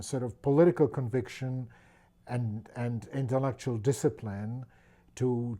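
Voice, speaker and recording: A man in his sixties from the Czech Republic with a Czech accent, speaking thoughtfully in an interview with many pauses and restarts. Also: mid-range voice, dark tone. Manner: thoughtful, with frequent fillers and restarts. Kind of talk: reflective personal interview.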